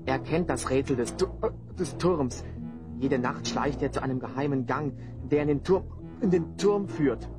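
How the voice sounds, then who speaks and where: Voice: mid-range voice, moderate pace, over music, lightly acted. Anxious, stammering captive man, played by an actor. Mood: hushed, anxious. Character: frightened captive slave man, weary and warning. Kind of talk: fantasy audio drama acting.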